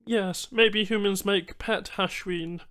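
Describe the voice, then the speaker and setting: A man voicing a formal, eager male alien trader who speaks in halting, oddly ordered phrases for an audiobook. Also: high-pitched, fairly even intonation, sharp tone, voice pushed up, full character voice. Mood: businesslike, halting. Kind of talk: audiobook character voice.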